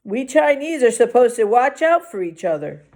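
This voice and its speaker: A woman's voice: on the high side, sing-song, moderate pace, a little mellow, voice pushed up. A woman voicing a drunk, bitter and aggressive male security guard in a children's novel reading. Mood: bitter, angry. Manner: slurred, hollering.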